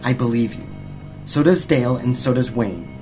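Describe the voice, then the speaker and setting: An actor playing a self-assured, combative paranormal investigator in an audio drama, speaking formally and assertively. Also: male voice, mid-range voice, animated, normal speed, over music, natural voice. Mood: reassuring.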